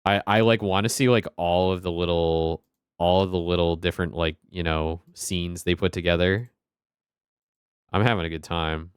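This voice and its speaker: A man's voice: low voice, fairly even intonation, normal speed, smooth, dry recording, clean studio sound. A man streaming a game with laid-back, joking commentary, swearing and repeating the game's lines. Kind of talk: casual gaming commentary.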